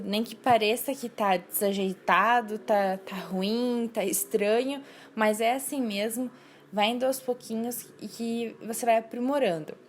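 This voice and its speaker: A woman's voice: on the high side, a little hiss or hum. A young woman hosting a casual solo podcast, talking earnestly with frequent hesitations and restarts. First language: Portuguese. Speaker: podcast host.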